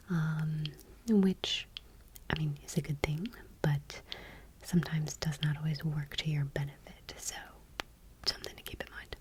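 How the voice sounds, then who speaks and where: A woman speaking in a soft, breathy near-whisper in an ASMR style, gentle and unhurried, close to the microphone. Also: deep voice. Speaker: ASMR channel host. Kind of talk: soft-spoken ASMR, reading trading cards aloud.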